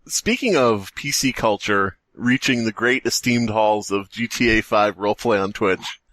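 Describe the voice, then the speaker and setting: A man's voice: lowish voice, moderate pace, fairly bright tone, dry recording. A man co-hosting a comedy podcast in casual banter, often interjecting with mock-serious remarks and swearing. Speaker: podcast co-host.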